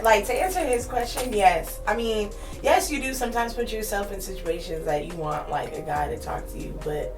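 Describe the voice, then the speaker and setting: A woman's voice: medium pitch, normal speed, hardly any pauses. A young woman from Maryland in a casual group talk, asking questions with lots of fillers. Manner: questioning, uses many fillers. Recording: distant mic, music underneath.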